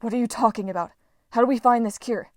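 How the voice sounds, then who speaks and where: A woman's voice: a little high, fast, dry recording, natural voice. Serious teen boy, storybook, blunt, direct, dry close sound, voiced by a woman.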